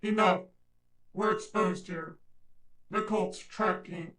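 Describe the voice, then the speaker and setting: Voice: steady tone, slow pace, fairly bright tone. An actor voicing a stern, menacing cyborg game character in clipped, commanding lines, processed with robotic filters and echo. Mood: commanding, urgent. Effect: cyborg voice filters, pitch shift, reverb and echo. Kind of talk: looped dramatic character line for effects demo. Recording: heavily processed, long reverb, layered echoes.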